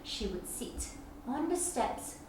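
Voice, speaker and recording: Heavily echoing room, woman, storytime reader, lively melodic intonation, unhurried dramatic phrasing, picture book read-aloud. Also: on the high side. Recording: very echoey room, distant mic, faint noise.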